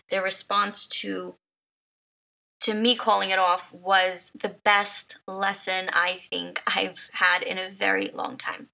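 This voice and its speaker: Slightly raspy, gravelly-voiced woman podcaster. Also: mid-range voice, pushed and bright, lo-fi sound. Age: 30s. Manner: emotional, repeats words, laughs often. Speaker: podcast host. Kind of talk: personal storytelling podcast.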